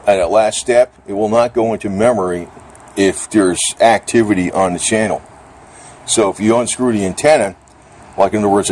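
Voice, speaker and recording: Male vlogger, lowish voice, plainspoken and a bit grumbly, rambling through a casual hands-on gear demo. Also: darkish tone, slight background noise. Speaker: vlogger demonstrating radio gear. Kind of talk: casual off-the-cuff product demonstration.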